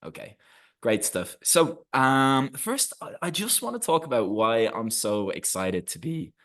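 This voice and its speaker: An Irish man in his thirties giving an energetic, encouraging webinar presentation over a video call. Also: medium pitch, measured pace. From Ireland. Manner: energetic, encouraging, with frequent restarts.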